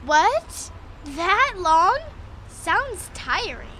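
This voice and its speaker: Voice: very high voice, very animated, extremely slow, pushed and bright, slight background noise, full character voice. A performer playing an earnest, naive, talkative little boy in a family audio drama, eager and guileless. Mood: curious, hopeful. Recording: prominent music behind the voice.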